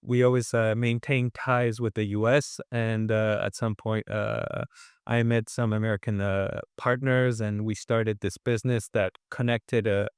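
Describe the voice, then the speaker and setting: A man with French as his first language, who grew up in France, speaks with a smooth, resonant voice. He talks in a measured way, pausing on fillers as he tells his story. The sound is dry and close. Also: lowish voice, no room sound. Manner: measured, many fillers and restarts. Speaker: guest, restaurant owner. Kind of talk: casual interview chat.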